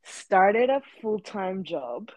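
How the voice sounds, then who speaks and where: A woman's voice: medium pitch, very animated, takes it slowly, dry recording, poor audio. A woman in her twenties from Rwanda, co-hosting a casual podcast chat and rambling with many fillers and restarts. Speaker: podcast co-host.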